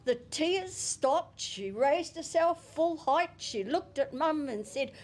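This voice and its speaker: A woman with a New Zealand accent giving a eulogy at a memorial service, reading a prepared tribute and a poem gently into a handheld microphone. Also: high-pitched, expressive. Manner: gentle and unhurried, with soft chuckles. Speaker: family member giving a eulogy.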